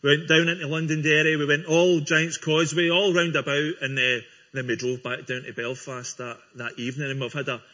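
A man's voice: a little high, flat delivery, fairly quick, hardly any pauses, pushed and bright. A Scottish man from Scotland giving his church testimony to a congregation, earnest and friendly, with small restarts. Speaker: guest speaker giving testimony. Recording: dull lo-fi recording.

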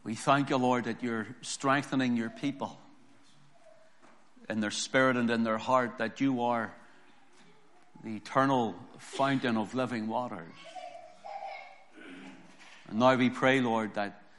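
Hoarse preaching man. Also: mid-range voice, fairly even intonation, a little hiss or hum. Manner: slow, deliberate scripture reading building to louder emphatic preaching. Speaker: pastor. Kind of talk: sermon.

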